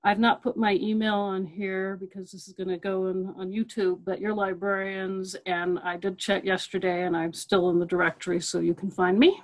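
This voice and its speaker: A woman's voice: mid-range voice, little pitch movement, moderate pace, hardly any pauses. A middle-aged woman librarian giving a webinar over a computer mic. She talks in a loose, conversational way, with many fillers and restarts, and she repeats her key points. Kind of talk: webinar presentation.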